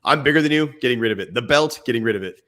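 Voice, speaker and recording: A man's voice: mid-range voice, lively intonation, rapid-fire, fairly bright tone. A man co-hosting a fatherhood podcast, talking casually and animatedly, acting out little scenes with his kids and laughing often. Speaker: podcast co-host. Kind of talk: casual parenting advice chat.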